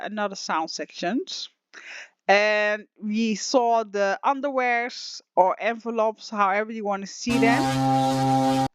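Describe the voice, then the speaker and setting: A woman with a slightly raspy voice talks slowly through a casual software lesson, rambling and restarting often. The recording is dull and lo-fi, with a dry, close sound. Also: medium pitch, no echo. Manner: rambling, emotional, many restarts and fillers. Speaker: online music software teacher. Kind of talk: casual screen-share tutorial.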